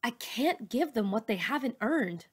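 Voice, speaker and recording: A woman's voice: a little high, very animated, unhurried, husky, pitched above the performer's own voice, exaggerated voice. A woman reading a children's novel, voicing a proud, strict perfectionist teacher, a woman with a firm, earnest, defensive manner. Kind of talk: children's novel read-aloud dialogue.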